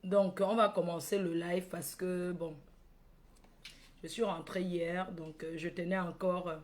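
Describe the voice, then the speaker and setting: A woman's voice: on the low side, little pitch movement, a little slow, darkish tone. A woman from Cameroon with a Cameroonian accent hosting a casual livestream, addressing followers by name, repeating phrases and tagging on questions. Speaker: livestream host.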